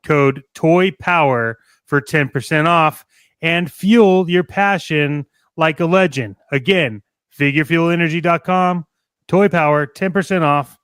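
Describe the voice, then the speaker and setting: A man delivers a scripted advert as an announcer. His voice is smooth and slightly high, and the recording is dry with no room around it. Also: fairly bright tone, dry recording. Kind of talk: scripted promotional ad read.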